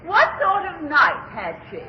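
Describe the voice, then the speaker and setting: An actress playing a teasing, lively stage heroine in an old radio play, arch and theatrical. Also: female voice, extremely high pitch, a little slow, dull audio, full character voice. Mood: amused teasing. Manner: theatrical, arch, projecting to an audience. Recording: very noisy, echoey old radio recording.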